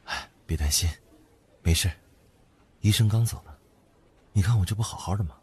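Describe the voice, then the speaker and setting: A man plays a tender wounded elder brother in a radio drama. His voice is deep and extremely husky. He soothes gently and calmly. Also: normal speed. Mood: reassuring. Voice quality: husky, breathy. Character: injured elder brother, tender, calm, reassuring. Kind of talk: Chinese audio drama acting.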